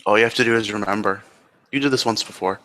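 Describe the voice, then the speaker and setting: A man voicing a gentle, reassuring changeling creature in a tabletop roleplaying game, calm and measured as he coaxes. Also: lowish voice, lightly acted. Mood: coaxing. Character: gentle, reassuring changeling, a scary-looking creature, playful at heart.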